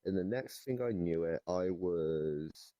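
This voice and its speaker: A young man improvising comedic chat in a deadpan, hesitant way. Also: low-pitched, slow, dry recording. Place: online voice chat in a virtual reality game.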